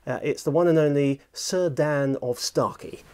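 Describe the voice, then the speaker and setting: A man's voice: a little high, moderate pace, a little mellow, clean tone. A middle-aged English man presenting a casual, jokey interview in a conversational way. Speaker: presenter, audiobook producer and director. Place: small furnished office room, indoors.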